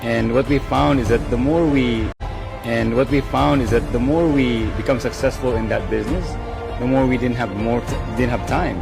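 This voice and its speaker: Gravelly-voiced man giving an earnest testimonial. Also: medium pitch, moderate pace. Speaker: online business owner giving a testimonial. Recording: background music.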